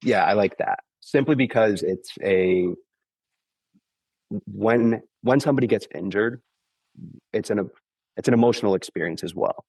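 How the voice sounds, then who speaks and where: Deep-voiced, congested man explaining patiently. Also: a little slow, pauses often, darkish tone, husky, no echo, low-quality recording. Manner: explains patiently, with many "like" and "okay?" check-ins. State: congested from flu. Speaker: podcast guest, running injury expert. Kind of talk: casual explanatory podcast talk.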